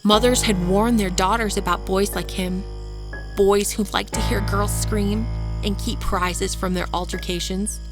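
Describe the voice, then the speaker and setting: A woman voicing a coolly menacing young woman who tells a dark tale on a date, taunting and deliberate, in an audio horror story. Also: medium pitch, steady tone, natural voice. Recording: music bed under the voice.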